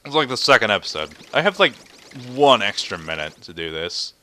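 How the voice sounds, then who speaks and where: Bright-voiced guy doing game commentary. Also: lowish voice, sing-song, a little fast. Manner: rambling, goofy, breaks into put-on voices. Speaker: let's play host. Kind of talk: casual video game commentary with joking impressions.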